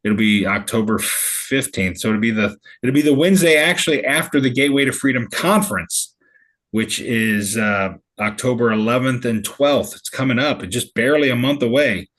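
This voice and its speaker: A chatty man with a St. hosts a casual group video call. His pitch sits in the middle range, and he rambles loosely with many restarts and laughs. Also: moderate pace, a bit bright. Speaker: meetup host.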